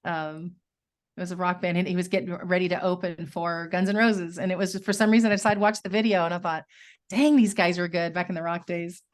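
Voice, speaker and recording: A woman interviewer asking rapid-fire questions in a chatty, upbeat way. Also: fairly low pitch, fairly even intonation. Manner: chatty, upbeat, quick to laugh.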